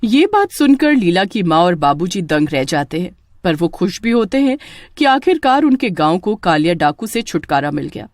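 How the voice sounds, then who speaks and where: A woman narrating a scripted story as an expressive storyteller. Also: on the low side, moderate pace, dry recording, studio quality.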